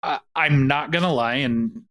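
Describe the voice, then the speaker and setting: A man's voice: mid-range voice, unhurried, fairly bright tone. Candid male podcast producer. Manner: candid, repetitive restarts. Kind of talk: casual interview talk.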